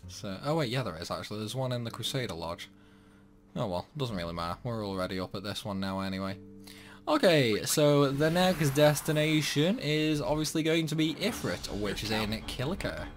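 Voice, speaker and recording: Casting: young male gaming host, relaxed chatty commentary, faint game music underneath. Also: mid-range voice, moderate pace. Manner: chatty, self-deprecating, exclaims at mistakes. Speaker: gameplay channel host.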